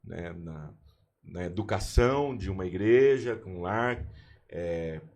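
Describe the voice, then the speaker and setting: Pastor in his forties, low voice. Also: lively intonation, slow pace. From Brazil. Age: 40s. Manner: warm, storytelling, with many restarts. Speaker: university chaplain and pastor. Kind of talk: casual podcast conversation.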